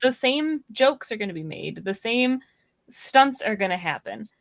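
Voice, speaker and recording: A woman's voice: on the high side, animated, unhurried, vocal fry, dry recording. A woman in a casual, conversational discussion as part of a podcast panel. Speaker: podcast guest or co-host.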